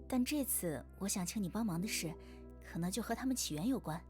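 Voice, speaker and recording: A woman's voice: medium pitch, expressive, quick pace, close to an everyday voice. An actress playing a shy, cute, earnest girl who passes on a statue's messages in a visual novel. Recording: clear game music behind the voice. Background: game music.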